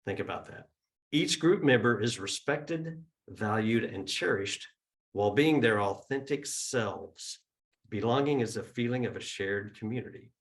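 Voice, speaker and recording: Chatty man opening a church service. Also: fairly low pitch. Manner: chatty and informal, with ums and uhs. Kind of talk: casual opening remarks at a service. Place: church hall with live and online audience.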